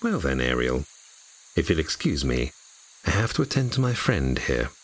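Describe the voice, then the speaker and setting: Casting: male actor for brooding fallen angel, very deep husky voice, commanding, dryly courteous, fantasy audio drama. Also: very animated, heavily acted, rough recording. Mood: wry, courteous. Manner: measured, dryly polite, wry.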